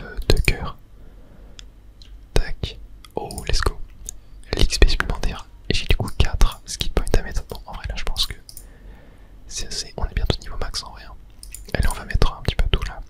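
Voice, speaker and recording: Whispered voice, breathy, soft, casual ASMR gaming commentary, chatty, thinking aloud. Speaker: gaming video host.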